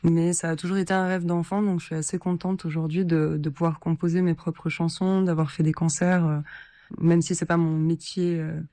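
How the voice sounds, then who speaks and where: Shy soft-spoken woman from lithuania, lots of hesitating, warm mellow tone. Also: on the low side, little pitch movement, moderate pace, dry recording. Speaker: interviewed singer-songwriter. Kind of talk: radio interview answers.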